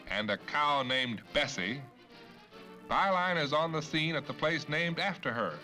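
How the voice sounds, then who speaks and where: A man's voice: fairly high pitch, expressive, unhurried, bright, forceful tone. 1950s American newsreel man, music behind. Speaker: newsreel narrator. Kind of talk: 1950s newsreel scripted narration.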